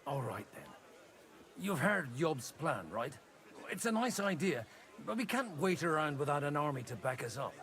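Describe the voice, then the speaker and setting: A man's voice: fairly high pitch, big pitch swings, moderate pace, fairly bright tone. An actor playing a blunt, disgraced brawling priest, a nobleman's elder son, in dramatic medieval game dialogue. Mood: earnest. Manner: blunt, plain-spoken.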